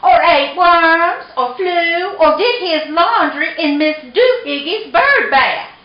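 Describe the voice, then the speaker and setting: Woman putting on a high, scolding mother cat. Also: expressive, reverberant, highs cut off, exaggerated voice. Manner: exasperated, emphatic, drawn-out lecturing.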